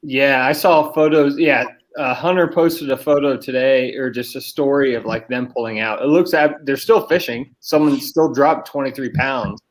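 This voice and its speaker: A man's voice: mid-range voice, fairly even intonation, normal speed, hardly any pauses. A man in casual, joking chat as an angler guest on a fishing podcast.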